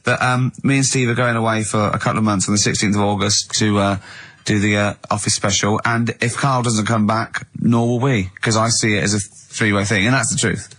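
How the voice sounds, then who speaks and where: A man's voice: on the low side, fairly even intonation, a little fast, a bit bright, dry recording. A man chatting casually and irreverently as co-host of a comic radio show. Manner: irreverent, crude anecdote telling. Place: radio studio. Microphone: close studio microphone.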